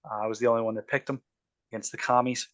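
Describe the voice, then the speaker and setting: A man's voice: mid-range voice, steady tone, fast talker, fairly bright tone, dry recording. A man hosting a casual sports podcast, talking loosely with lots of ums and restarts. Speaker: podcast host.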